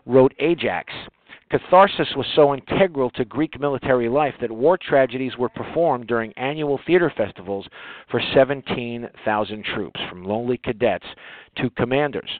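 Man, medium pitch, interview host, conversational, halting and repetitive phrasing, narrow telephone-like sound. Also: no room sound. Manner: halting, repeats words as he goes.

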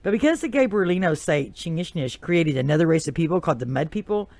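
A host with a Texas accent, reading a prepared narration in a steady storyteller's delivery with occasional asides, recorded on a new microphone. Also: medium pitch, fast, dry recording. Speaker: podcast host. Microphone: new desktop microphone.

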